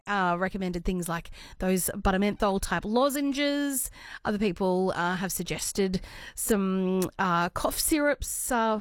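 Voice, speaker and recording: Hoarse Australian woman radio host. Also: mid-range voice, no echo. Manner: chatty, friendly, with some ums and uhs. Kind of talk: morning talkback radio. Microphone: studio microphone.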